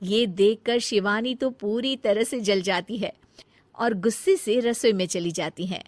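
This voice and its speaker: A woman's voice: medium pitch, measured pace, dry recording. A woman reading scripted narration as the storyteller of a domestic tale.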